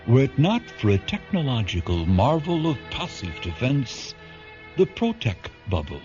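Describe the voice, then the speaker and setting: A grave male announcer introduces a sci-fi radio tale. His delivery is portentous and animated in pitch, full of deliberate pauses. Music plays beneath him on a slightly dull recording. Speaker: radio drama narrator. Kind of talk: scripted dramatic narration.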